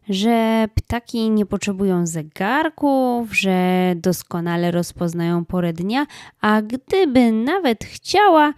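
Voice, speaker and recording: A woman narrating a children's story in a calm, scripted storytelling style. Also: medium pitch, no room sound, studio quality.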